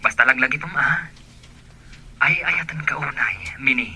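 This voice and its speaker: Lovesick jealous boyfriend, ilocano accent, husky but really piercing bright voice, radio drama. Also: male voice, a little high, normal speed, full character voice. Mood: jealous, tender. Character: devoted, slightly jealous boyfriend missing his sweetheart.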